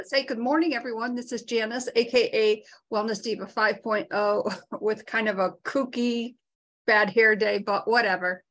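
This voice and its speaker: A woman co-hosting a live show over a video call. She talks in a frank, down-to-earth way and pokes fun at herself. Her voice sits at an ordinary middle pitch. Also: a bit bright. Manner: frank, down-to-earth, self-deprecating. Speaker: co-host of a live talk show. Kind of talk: casual motivational chat.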